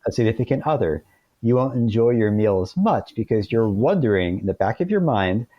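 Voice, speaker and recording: A man's voice: lowish voice, lively intonation, a little fast, dry recording, lo-fi sound. A man speaking as an interview guest, explaining things casually through informal anecdotes. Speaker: podcast guest, author.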